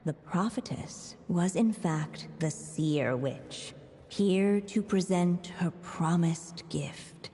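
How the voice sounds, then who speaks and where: A solemn female fairy-tale narrator for a game, low-pitched and very slow. The voice rings in long reverb over clear music. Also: warm tone. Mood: solemn, measured. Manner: measured, storytelling.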